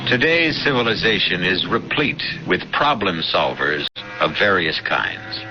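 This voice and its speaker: A man hosting a radio mystery drama with measured, theatrical, ominous scripted narration. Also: sits low, expressive. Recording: dull radio recording with music.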